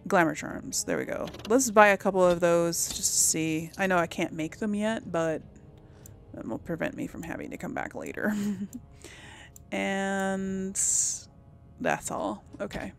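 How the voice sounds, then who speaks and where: A woman's voice: mid-range voice, lively intonation, gravelly, soft background music. A woman casually commenting on her gameplay, musing aloud and talking to herself. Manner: slow, musing, talks to herself. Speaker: gameplay host. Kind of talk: casual let's play commentary.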